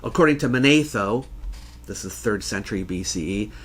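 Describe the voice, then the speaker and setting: A man giving scripted educational narration in a measured, formal way with precise enunciation, as the host of a history lesson. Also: on the low side, expressive, fairly bright tone, slightly rough. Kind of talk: scripted educational narration answering listener questions.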